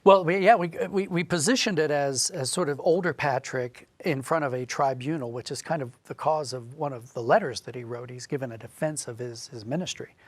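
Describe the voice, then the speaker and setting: A man's voice: medium pitch, fairly quick, few pauses, no room sound. A man speaking as an interview guest, explaining and telling stories conversationally with emphatic phrasing. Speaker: guest, audio drama writer and director.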